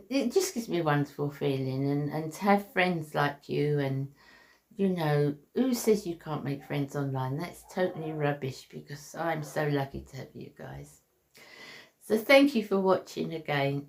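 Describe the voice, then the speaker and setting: A woman, chatting earnestly to her viewers, with lots of ums and restarts. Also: deep voice. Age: 60s. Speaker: beauty vlogger. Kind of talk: heartfelt casual vlog chat. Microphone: basic camera mic.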